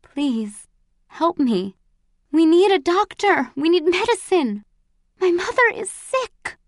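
A woman's voice: on the high side, big pitch swings, slow pace, mellow, no room sound, clean studio sound, heavily acted. A woman voicing a desperate teenage girl in an audiobook, imploring and tender. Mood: desperate pleading. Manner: urgent, imploring.

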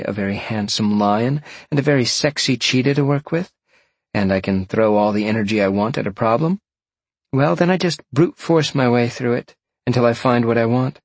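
A man's voice: medium pitch, husky, no echo, pitched above the performer's own voice. A man voicing a playful, teasing young sorceress who talks in a breezy, confiding way for an audiobook.

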